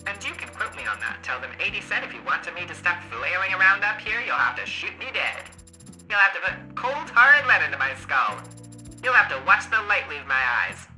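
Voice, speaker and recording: A woman voicing a brash, flamboyant, chatty female android court adjutant in a video game, loud and theatrical. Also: on the low side, sing-song, normal speed, soft background music, pitched above the performer's own voice, heavily acted, low-quality recording. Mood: melodramatic. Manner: loud, theatrical, swooping, playful. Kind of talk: video game character voice acting.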